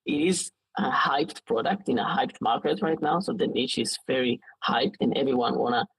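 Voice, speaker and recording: A man in his late twenties with an Israeli accent, talking casually as an interview guest in a halting way with frequent false starts. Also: medium pitch, animated, fairly bright tone, husky, no room sound, poor audio.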